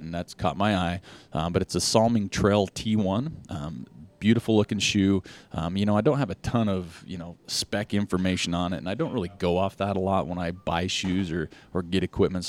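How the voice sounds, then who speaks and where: A man's voice: low voice, darkish tone. A man co-hosting a podcast, joking and rambling casually about trail shoes and jackets. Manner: jokey, rambling, many ums and restarts. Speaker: podcast co-host. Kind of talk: casual chat about running gear.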